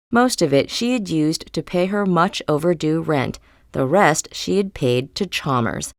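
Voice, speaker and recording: A woman reading literary fiction as an audiobook narrator, in a measured, dramatic storytelling manner. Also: deep voice, no room sound, clean studio sound.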